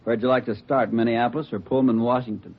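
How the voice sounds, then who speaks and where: An actor playing a brisk, wry male crime lab technician with a husky voice in an old radio police drama. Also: mid-range voice, steady tone, natural voice. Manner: wry, businesslike. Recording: muffled old radio recording.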